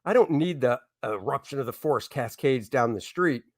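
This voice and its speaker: A man's voice: mid-range voice, animated, moderate pace, no room sound, clean studio sound. A man hosting a casual livestream as a comic book artist, chatting informally with viewers and reading out their comments.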